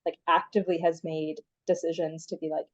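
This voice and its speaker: A young woman from Canada talks easily and chattily, her sentences full of "like." Her voice is mellow and sits a little low. The sound is dull and lo-fi. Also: little pitch movement, a little fast. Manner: chatty, frequent "like" fillers. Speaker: interview guest. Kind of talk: casual conversation.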